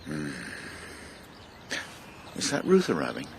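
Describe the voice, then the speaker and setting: A man playing a stubborn, proud older husband with an English accent, defensive and halting in a naturalistic radio drama. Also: rumbling low, wildly swooping pitch, gravelly, slight background noise, full character voice. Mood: subdued.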